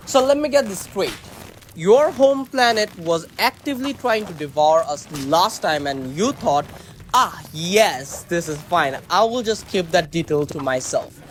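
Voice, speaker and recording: A man's voice: sits high, lively intonation, measured pace, slight background noise. A man playing a boastful, bumbling space captain in a comedy radio drama, talking in a pompous, self-important way. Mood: incredulous.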